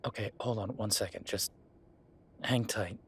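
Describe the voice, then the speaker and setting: A man playing a gruff, sarcastic merman who runs a tattoo shop, speaking in a halting, conversational audio roleplay style. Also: on the low side. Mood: concerned, gruff. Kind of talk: naturalistic one-sided audio roleplay.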